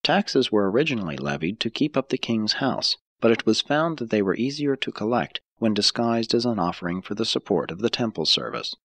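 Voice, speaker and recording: A man narrating an audiobook from a script in a measured, formal way, with deliberate emphasis. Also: fairly low pitch, sing-song, fairly bright tone, no room sound.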